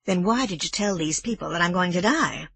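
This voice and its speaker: A suspicious, irritable middle-aged man, as a woman reader puts him on, lightly voiced in a husky, dry tone. He questions sharply, and his pitch swings widely when he presses. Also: expressive, fairly quick, no echo, exaggerated voice. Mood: probing. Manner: sharp, interrogating.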